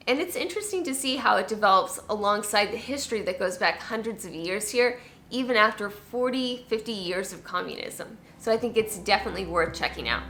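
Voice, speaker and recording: Excited woman travel vlogger. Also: medium pitch. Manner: excited, exclaiming. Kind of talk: casual travel narration.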